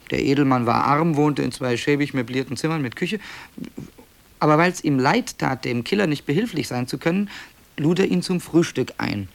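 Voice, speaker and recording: A man's voice: medium pitch, gravelly. A man playing a wry, digressive author who presents found tapes in a radio play, speaking conversationally and hesitantly in a dryly matter-of-fact way. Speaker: narrator.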